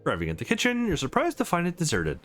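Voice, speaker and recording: A man's voice: on the high side, wildly swooping pitch, fast talker, fairly bright tone, soft background music. A man reading a visual novel aloud for a let's play, adding casual asides and correcting his own stumbles.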